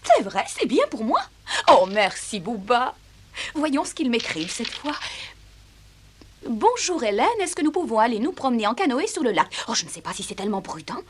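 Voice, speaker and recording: A woman playing a fussy, excitable grown-up looking after children in a dubbed cartoon, theatrical and exclamatory. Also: fairly high pitch, normal speed, breathy edge. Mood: curious, hesitant. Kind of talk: animated cartoon dub acting. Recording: noisy old cartoon soundtrack.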